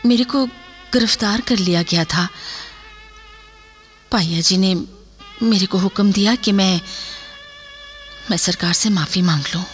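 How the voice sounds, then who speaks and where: A woman plays a dignified soldier's wife in a radio drama, with music behind her. Her voice is medium-pitched, and she speaks respectfully yet with firm resolve. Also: unhurried, pauses often, natural voice. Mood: firm, aggrieved. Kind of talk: Hindi radio play acting.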